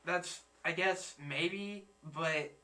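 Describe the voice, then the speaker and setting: A man's voice: fairly high pitch, drawn-out words, pushed and bright, far from the mic. A man in his twenties hosting a reaction video, chatting casually and searching for his words. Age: 20s. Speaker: reaction channel host. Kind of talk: casual chat.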